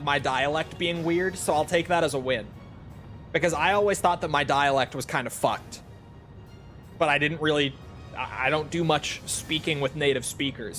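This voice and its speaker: Male game streamer, slightly high-pitched, casual and self-deprecating, loose rambling chat over soft background music. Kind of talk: casual livestream chat, replying to viewers.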